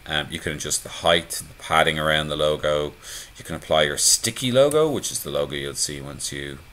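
Male tutorial presenter, extremely low voice, laid-back conversational delivery, voice-over screencast. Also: a little fast. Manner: relaxed, conversational, many fillers. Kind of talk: screencast walkthrough.

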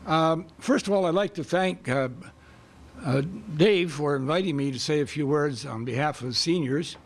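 Older man with a loud, hesitant voice. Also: fairly high pitch, normal speed. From Canada. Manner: hesitant, with many fillers and restarts. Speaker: guest speaker for seniors. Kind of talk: community meeting speech. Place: high school music room, small stage. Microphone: stand mic close in front.

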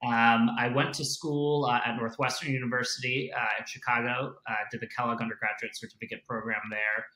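A man's voice: medium pitch, a little fast, hardly any pauses. A man in his thirties with an American accent, originally from South Africa, talking casually as a guest over a video call from his home office, with frequent fillers. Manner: relaxed, many "uh" and "you know" fillers. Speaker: venture capital investor guest. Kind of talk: casual interview. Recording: echoey room on a video call.

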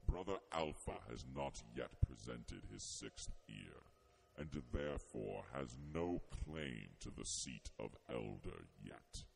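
An actor playing a shrouded, secretive cult member speaking through a voice filter, cold and measured. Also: male voice, animated, slight background noise, full character voice. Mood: cold, disapproving. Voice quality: very deep, gravelly, measured. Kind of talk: radio drama acting.

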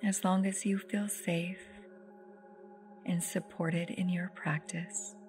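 A woman with a fairly low voice guides a meditation softly and soothingly. She takes her time, leaving pauses and letting phrases trail into breathiness. Singing bowl music plays clearly behind her. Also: level tone. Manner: soothing, unhurried, with long pauses. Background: meditative music with singing bowls.

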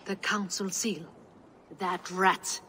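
A woman's voice: mid-range voice, little pitch movement, slow pace, sharp tone. Norse noble lady, earnest, short formal phrases, game cutscene, noisy behind. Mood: grave. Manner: formal, terse. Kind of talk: dramatic video game cutscene acting.

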